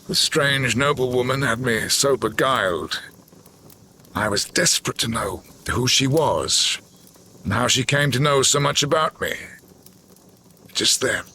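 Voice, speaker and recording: An actor playing a grim, vengeful old general in a gothic audio drama, speaking formally and commandingly. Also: male voice, medium pitch, pushed and bright, slight background noise.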